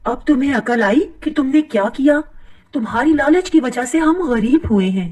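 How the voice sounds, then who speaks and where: A woman's voice: high-pitched, lively intonation, normal speed, a little mellow, far from the mic, pitched above the performer's own voice. A woman voicing a fed-up, scolding wife. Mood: exasperated. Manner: exasperated scolding.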